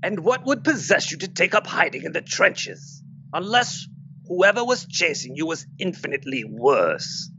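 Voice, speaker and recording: A man's voice: on the high side, very animated, normal speed, bright, forceful tone, highs cut off, a little hiss or hum, full character voice. A man playing a blunt, sardonic, wounded British soldier and protective father in an audio drama. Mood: urgent, accusing.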